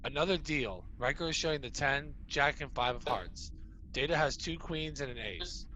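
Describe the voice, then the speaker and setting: A man's voice: medium pitch, normal speed, pushed and bright, slight background noise, poor audio. A man narrating stage directions at an amateur table read of a sci-fi script.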